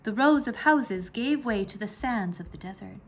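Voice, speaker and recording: A woman narrating a children's storybook aloud in a deliberate, dramatized way. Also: mid-range voice, expressive, a little hiss or hum.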